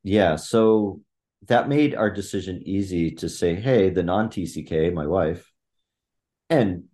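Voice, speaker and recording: A middle-aged man with a deep, low-pitched voice talks through his family life in a relaxed interview. He speaks reflectively and in fits and starts, full of fillers and restarts. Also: slow. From Thailand. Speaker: podcast guest. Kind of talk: conversational interview.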